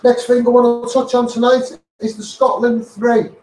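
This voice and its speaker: High-pitched Scouse man ranting through a megaphone. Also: flat delivery, normal speed, darkish tone. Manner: repetitive, emphatic declaiming. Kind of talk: impassioned monologue rant. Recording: echoey, distant, noisy megaphone recording.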